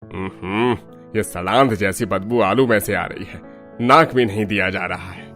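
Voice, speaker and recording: Man as a low-voiced older father-in-law. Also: a little slow, bright, forceful tone, soft background music. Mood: grumbling. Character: grumbling father-in-law, poor older vegetable seller. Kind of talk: Hindi cartoon story acting.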